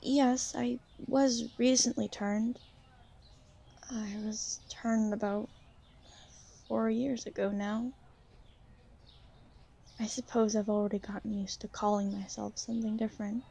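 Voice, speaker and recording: A woman playing a shy, gentle young vampire in an ASMR roleplay, speaking softly and hesitantly to the listener. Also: fairly high pitch, flat delivery, gravelly, breathy edge, full character voice. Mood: earnest, gentle, then subdued, hesitant. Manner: hesitant, soft-spoken, gently halting. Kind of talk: ASMR roleplay, soft intimate talk to the listener.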